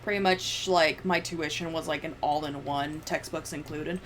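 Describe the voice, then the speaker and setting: A woman's voice: sits low, steady tone, normal speed, fairly bright tone, rough recording. A young woman chatting casually on a livestream in a sweary, sardonic manner. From United States. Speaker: livestreamer editing a video. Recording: clear background noise, room ambience.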